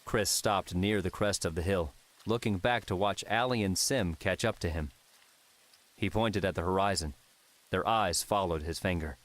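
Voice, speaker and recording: Deep-voiced male audiobook narrator. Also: normal speed, a bit bright. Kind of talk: scripted fiction narration.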